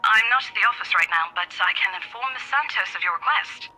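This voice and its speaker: Very sharp, husky office assistant. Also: female voice, mid-range voice, brisk, low-quality recording. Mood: courteous. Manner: courteous, businesslike. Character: polite, accommodating female office assistant. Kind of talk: video game voice acting.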